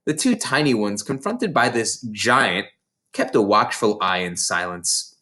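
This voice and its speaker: A man reading a children's novel aloud as an expressive storybook narrator. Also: on the low side, a little slow. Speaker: storybook reader.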